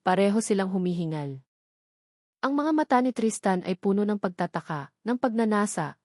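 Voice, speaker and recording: A woman narrates a romantic drama story, telling it in a steady, dramatic way. Her voice sits at a middle pitch for a woman. The recording is dry and close, with no room echo.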